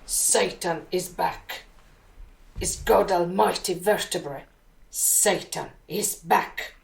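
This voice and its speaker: Earnest, slow-spoken budding woman poet. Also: fairly low pitch, gravelly, fairly bright tone, full character voice. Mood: dark, incantatory. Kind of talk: radio drama acting, with recited poetry.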